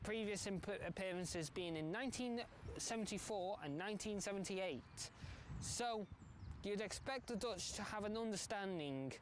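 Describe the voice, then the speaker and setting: A man narrating a football documentary in a measured, explanatory way. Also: high-pitched, lively intonation, background noise.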